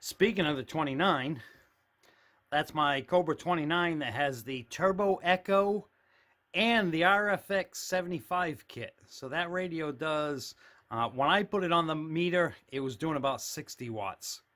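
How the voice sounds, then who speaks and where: A man's voice: a little high, a little slow, pushed and bright. Plain-spoken man giving a casual tour. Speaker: CB radio hobbyist giving a tour of his radio shack. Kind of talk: casual unscripted show-and-tell commentary. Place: indoor room with shelves of radios.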